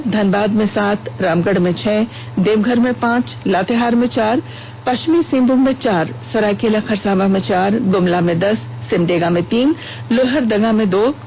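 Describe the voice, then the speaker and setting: A woman reads the radio news in a formal, measured way. The recording is muffled and lo-fi, with faint hum and noise behind her. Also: mid-range voice, darkish tone. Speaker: news reader. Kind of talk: scripted radio news bulletin reading.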